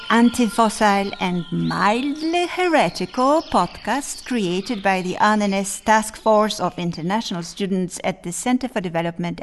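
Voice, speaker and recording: A middle-aged woman hosts a studio podcast with a wry, playful edge. She poses one rhetorical question after another. Her pitch sits in the middle range. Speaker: podcast host. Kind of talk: hosting a panel discussion.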